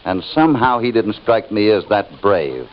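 A man playing a calm, assured cowboy hero in an old radio western, unruffled, wry and authoritative. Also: on the low side, moderate pace. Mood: assured, commanding. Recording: muffled old radio recording.

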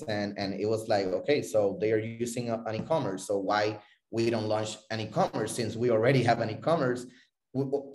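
A man with a Puerto Rican accent answering interview questions about his business, halting and repeating words as he searches for phrasing. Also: lowish voice, a little mellow. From Puerto Rico. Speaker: interview guest, tech company CEO. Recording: roomy, echoing voice recording.

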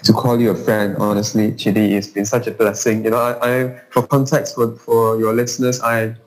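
A young man from Malaysia, a podcast guest who talks in a measured, earnest way with frequent restarts over a remote call. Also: on the low side, level tone, rough recording. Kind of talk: casual conversation.

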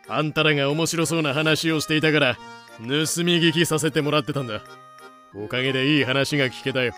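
A man playing a nosy, sly journalist in an audio drama, with casual, rough-edged, probing speech. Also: fairly high pitch, a bit bright, close to an everyday voice. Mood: breezy. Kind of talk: Japanese audio drama acting.

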